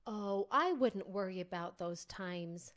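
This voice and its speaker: A man voicing a gentle, worried mother bear in a storybook reading, speaking softly with sighs. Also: high-pitched, slow, voice pushed up. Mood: reassuring. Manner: soft, sighing.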